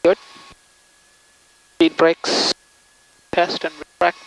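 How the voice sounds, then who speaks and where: A man's voice: a little high, monotone, extremely slow, a bit bright, poor audio. A man, a pilot, calling out pre-flight checks to himself in clipped, methodical phrases in a small plane cockpit.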